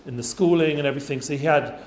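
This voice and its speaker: A man's voice: on the high side, quick pace, darkish tone, slight background noise. Chatty man in an echoey room. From North London, England. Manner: chatty, informal, lots of fillers and asides. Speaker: guest speaker for students. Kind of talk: casual talk to a youth group.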